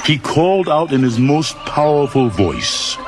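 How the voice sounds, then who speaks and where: A man narrating a children's storybook audiobook in a dramatic, deliberate storytelling style. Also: on the high side, big pitch swings, crowd noise. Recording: loud music and animal sounds behind.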